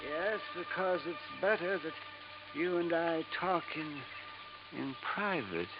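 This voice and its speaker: A man's voice: fairly high pitch, expressive, slow, over music. An actor playing a nervous, timid older male scientist who stammers and hesitates, in 1950s radio drama acting. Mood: flustered. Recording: dull noisy old radio recording.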